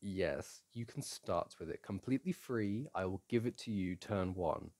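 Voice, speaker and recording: A man playing a playful boyfriend in an intimate audio roleplay, chatting casually, teasing and coaxing the listener in a gentle, halting way. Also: low-pitched, lively intonation. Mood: soothing. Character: playful, teasing, soothing boyfriend at a board game.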